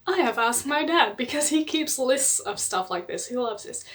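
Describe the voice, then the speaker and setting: Young adult woman, casual enthusiastic book vlogger, slightly higher voice. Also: animated, moderate pace, a bit bright. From Netherlands. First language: Dutch. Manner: chatty and enthusiastic, laughs often.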